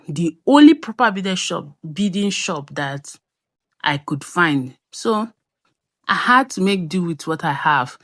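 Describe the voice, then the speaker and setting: Unhurried Nigerian woman telling a story. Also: fairly low pitch, dry recording, studio quality. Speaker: craft storyteller and vlogger. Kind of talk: personal storytelling voice-over.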